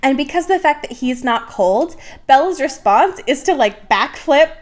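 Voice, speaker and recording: A woman co-hosting a podcast, casually recapping a book in a slangy, sarcastic, animated way. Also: high-pitched, normal speed. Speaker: podcast co-host.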